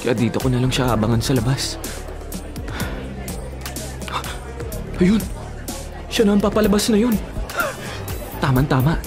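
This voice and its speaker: A man's voice: mid-range voice, very animated, a little fast, music in the background, crowd noise, lightly acted. Man acting a lovelorn, wildly swinging young student. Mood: hesitant, pleading. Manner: pleading, faltering, melodramatic. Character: lovelorn, pleading young male college student. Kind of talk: Filipino radio drama acting.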